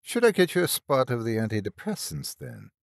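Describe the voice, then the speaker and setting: A man's voice: medium pitch, wildly swooping pitch, a little fast, vocal fry, no room sound, clean studio sound. A man voicing a fierce, motherly old Irish woman, a salty, scolding hospital nurse in an audio story. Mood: concerned.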